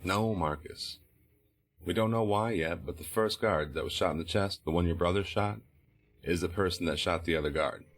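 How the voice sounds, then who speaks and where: An actor playing a firm, patient and weary male police detective in an audio drama, questioning in a measured way. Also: low-pitched. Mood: insistent, weary. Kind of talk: naturalistic audio drama acting.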